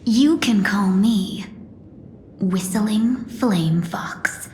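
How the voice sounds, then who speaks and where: A woman's voice: medium pitch, drawn-out words, a little mellow, roomy sound, slight background noise. A woman voicing a sly, courtly fox lady in a video game, speaking with theatrical eloquence and a teasing manner. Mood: sly boasting. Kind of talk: dramatic video game voice acting.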